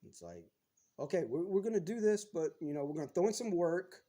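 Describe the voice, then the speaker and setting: A man in his thirties speaks as a podcast guest in frank, casual chat, swearing freely. His voice is husky and mellow, pitched a little high for a man. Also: expressive, fairly quick.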